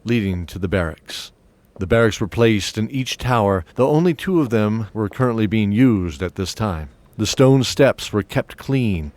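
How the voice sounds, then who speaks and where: A man narrating a fantasy audio drama in a measured storytelling manner. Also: on the low side, a little mellow.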